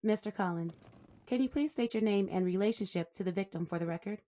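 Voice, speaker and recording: A woman's voice: medium pitch, fairly quick, warm tone, close to an everyday voice. A woman playing a prosecuting attorney in a courtroom audio drama, formal, precise and courteous in her questions.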